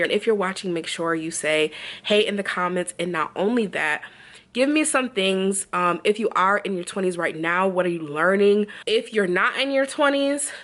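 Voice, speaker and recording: A woman's voice: on the low side, a bit bright. A woman in her early thirties chatting casually to viewers in a get-ready-with-me vlog, talking in an emphatic, familiar, conversational way. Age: early 30s. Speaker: vlogger, host of own channel. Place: indoors in a bedroom.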